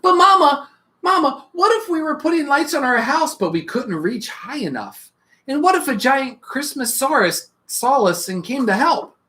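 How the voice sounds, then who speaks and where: A storybook reader voicing an excitable, worried little child who pleads with questions. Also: sits high, voice pushed up, exaggerated voice. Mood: eager, worried. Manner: pleading, rapid what-if questions.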